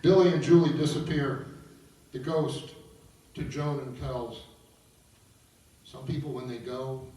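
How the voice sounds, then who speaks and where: A man's voice: medium pitch, warm tone, far from the mic, a little hiss or hum. Man narrating from afar in an echoing room. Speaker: narrator reading stage directions. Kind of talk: scripted narration at an opera table read. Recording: roomy echoing recording from afar, guitar music.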